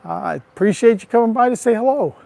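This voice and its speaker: A man with a raspy, allergy-roughened voice chatting casually to viewers while walking outdoors, recorded on a handheld phone. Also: very high-pitched, brisk, mellow. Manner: chatty, greets viewers by name. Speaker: livestream vlogger. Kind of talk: casual live walking tour. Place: outdoors on a town street.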